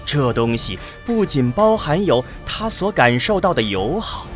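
A man's voice: fairly high pitch, sing-song, a little slow. A man narrating a science fiction radio drama in a dramatic scripted style. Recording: music behind the narration.

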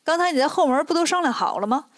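A woman voicing a cheeky, sardonic male monkey disciple in a storybook tale, brisk, teasing and dismissive. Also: sits high, expressive, no room sound, clean studio sound.

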